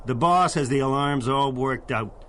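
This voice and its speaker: A man's voice: medium pitch, moderate pace, a bit bright, slight background noise, close to an everyday voice. A man playing a short-tempered gangster crew leader, barking slang in a 1930s-style radio drama. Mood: bossy, irritable. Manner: barking, streetwise tough-guy slang.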